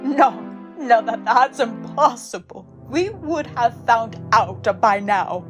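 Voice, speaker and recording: A woman playing a haughty, scornful queen in a theatrical audio drama, with dramatic, deliberate delivery. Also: a little high, expressive, a bit bright. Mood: suspicious. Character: imperious, scornful wicked queen clinging to her throne. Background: music under the voice.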